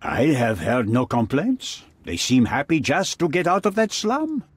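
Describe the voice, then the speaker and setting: Husky, swooping scheming businessman. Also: mid-range voice, big pitch swings, a little slow, dry recording. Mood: self-justifying. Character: smug, scheming middle-aged male businessman with shady connections. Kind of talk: video game cutscene acting.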